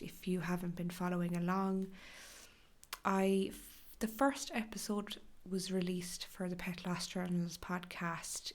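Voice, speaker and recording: Irish woman, podcast host, hoarse and crackly from a cough, gentle and chatty. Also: lowish voice. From Ireland. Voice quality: crackly, breathy in places. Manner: gentle, chatty, with fillers and restarts. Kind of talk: solo podcast talk.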